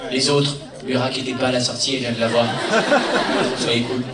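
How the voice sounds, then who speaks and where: A man's voice: medium pitch, flat delivery, brisk, heavy background noise. A man, a comedian, presenting a film and joking and teasing with the audience at a premiere. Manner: teasing, playful, addresses the crowd directly. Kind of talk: joking live address to a crowd. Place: large cinema auditorium. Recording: echoey hall, distant, loud crowd.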